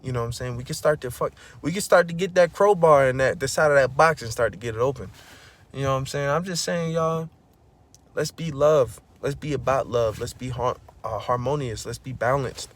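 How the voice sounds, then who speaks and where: A man vlogging a casual motivational monologue, preachy and full of slang, often saying "bro" and "you know what I'm saying," getting loud and high when he is fired up. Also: fairly quick.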